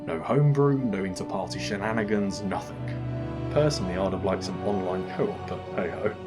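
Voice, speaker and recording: A man's voice: deep voice, brisk, over loud music. A man hosting a scripted comedic video game review, narrating in a dry, wry manner.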